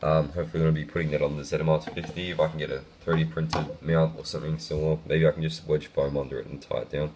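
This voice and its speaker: Young man, croaky from illness, very low pitch, casual rambling with ums. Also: little pitch movement, quick pace, few pauses, noisy background. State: sick, croaky voice. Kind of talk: hobby build vlog.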